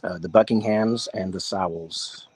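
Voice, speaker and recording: A man from Florida chatting casually, telling stories with halting repeats. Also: sits low, darkish tone, slightly rough, no echo. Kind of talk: casual group chat over the internet.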